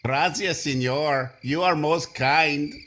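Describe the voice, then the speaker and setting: A man's voice: on the high side, takes it slowly, background noise. A man playing a sly Mexican bandit leader with a Mexican accent in a 1940s-style radio comedy. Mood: oily, mock-polite.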